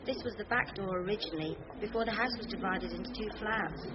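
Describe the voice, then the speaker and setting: A woman's voice: medium pitch, fast talker, close to an everyday voice. A woman playing a polite, helpful young woman answering questions in a radio detective drama. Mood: polite, obliging. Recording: noisy, dull radio recording.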